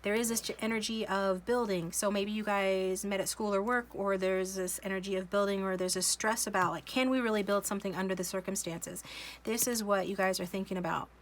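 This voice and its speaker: A woman reads tarot cards in a casual, wandering way, full of ums and restarts. She has a slight cold and sniffles now and then. Her pitch sits in a middle range, and a faint hiss runs under the recording. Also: fairly even intonation. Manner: slow, musing, many fillers and restarts. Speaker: tarot reader. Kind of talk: casual tarot reading to camera.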